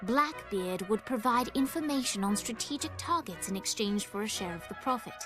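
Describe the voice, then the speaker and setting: A woman's voice: medium pitch, animated, moderate pace, over music, natural voice. A woman playing a composed storybook narrator in a video game, telling a scripted tale in a poised, articulate way. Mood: composed, wry.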